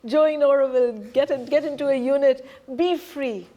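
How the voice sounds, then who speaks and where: A woman's voice: high-pitched, normal speed, muffled recording. Earnest middle-aged woman presenter. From Punjab, India. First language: Hindi. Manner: earnest, emphatic, stresses key words. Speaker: presenter and teacher. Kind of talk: reflective talk to a group.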